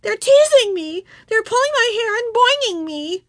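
A woman's voice: very high-pitched, lively intonation, moderate pace, no room sound, studio quality, pitched above the performer's own voice. A woman voicing a prim, indignant little schoolgirl in a whining manner. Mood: tearful, whining.

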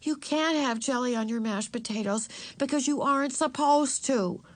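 A woman's voice: high voice, expressive, takes it slowly, fairly bright tone, dry recording, pitched above the performer's own voice, full character voice. A woman voicing an earnest, easily exasperated older sister, a young girl in a storybook. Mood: cross.

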